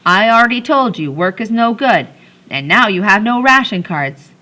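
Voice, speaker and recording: Lady reading as a cocky bossy little boy, bright and pushy, voice a bit raised. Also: mid-range voice, animated, moderate pace, pitched above the performer's own voice. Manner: bossy, cocksure, snapping. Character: bossy scheming young boy playing a game.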